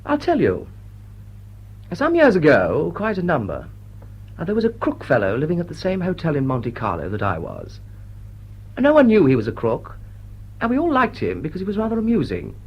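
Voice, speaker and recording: An actor playing a dry, urbane English lord and suitor in a stage-style radio drama, speaking in a husky, understated, hesitant way. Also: male voice, on the high side, wildly swooping pitch, normal speed, long pauses, highs cut off, exaggerated voice. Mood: wry, reflective.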